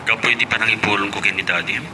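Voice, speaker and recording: A man's voice: on the low side, fairly even intonation, quick pace, pushed and bright, slight background noise, poor audio. A man acting an earnest young office worker in a radio romance drama, with an Ilocano accent.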